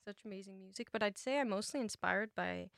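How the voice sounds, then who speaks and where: A woman's voice: mid-range voice, a little fast, creaky, a bit bright, dry recording, clean studio sound. A young woman chatting casually as an interview guest, informal and peppering her stories with "like". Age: young adult. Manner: informal, filler-heavy with "like", quotes her own thoughts. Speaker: musician guest. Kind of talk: casual chat. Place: radio studio.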